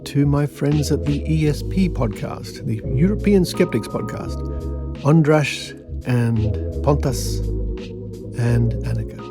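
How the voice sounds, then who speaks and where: A man's voice: medium pitch, takes it slowly, over music. A man hosting a podcast, reading out old newspaper clippings with dry, wry asides. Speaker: podcast host.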